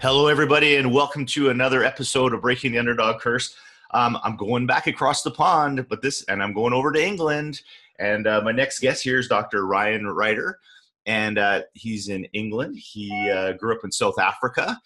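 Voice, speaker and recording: A man's voice: mid-range voice, normal speed, sharp tone. A man hosting a podcast, chatting in a friendly, loose way with many fillers. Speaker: podcast host. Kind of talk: casual interview with scripted intro.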